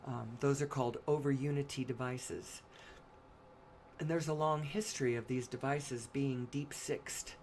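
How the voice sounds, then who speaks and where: A woman with a hoarse, raspy voice giving a solo spoken monologue, pausing often to cough and clear her throat. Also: extremely low pitch, slight background noise.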